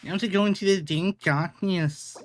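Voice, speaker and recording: A man's voice: high-pitched, moderate pace. A man giving casual, playful commentary as he streams a video game, often exclaiming and talking to the characters.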